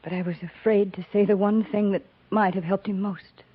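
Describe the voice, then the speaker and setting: A woman acting a reserved, grieving frontier woman in a 1950s radio melodrama, halting and full of regret. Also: mid-range voice, steady tone, husky, dry recording, close to an everyday voice. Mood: regretful, restrained. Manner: halting, confessional, restrained. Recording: muffled 1950s radio recording.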